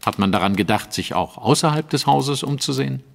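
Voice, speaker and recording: A man's voice: mid-range voice, expressive, fairly quick, vocal fry. An actor playing an analytical, composed male detective, speaking precisely and formally in an audio drama. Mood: inquiring.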